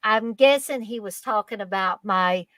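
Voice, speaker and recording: A woman's voice: mid-range voice, unhurried, sharp tone, dry recording, clean studio sound. A woman with a Kentucky accent, telling a story as a podcast guest in an earnest, effusive way, often repeating herself. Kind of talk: casual storytelling chat.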